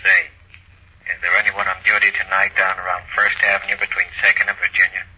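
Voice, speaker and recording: A man's voice: low voice, monotone, fast talker, natural voice. A furtive gang member as a man plays him in old radio drama. He talks coolly and evenly into a phone, on a muffled, very noisy recording. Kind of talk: old-time radio crime drama acting.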